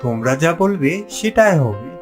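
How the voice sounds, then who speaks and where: A man performs an eager young son looking to marry in a cartoon. His pitch is fairly high and swings around in a lively way. Music plays clearly behind him. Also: unhurried. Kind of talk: cartoon dialogue acting.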